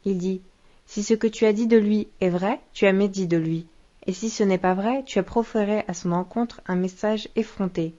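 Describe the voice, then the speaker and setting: A woman acts as a solemn religious teacher in a children's cartoon. She explains patiently in a mid-pitched voice. The soundtrack is slightly dull and muffled. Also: fairly even intonation, normal speed, dark tone, close to an everyday voice. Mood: solemn, instructive.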